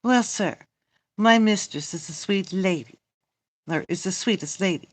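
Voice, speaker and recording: Woman reading a fussy, slow-spoken Shakespearean nurse. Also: medium pitch, dry recording, close to an everyday voice. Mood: fussing, deferential. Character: chatty, fussing female nurse, loyal servant in Shakespeare. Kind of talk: amateur Shakespeare read-through.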